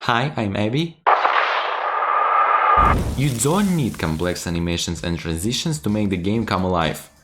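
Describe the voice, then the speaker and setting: A man's voice: low voice, sing-song, a little fast, hardly any pauses, very noisy. A man narrating a tongue-in-cheek video tutorial in a playful, mock-serious, emphatic way. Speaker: video creator giving sarcastic game-making tips.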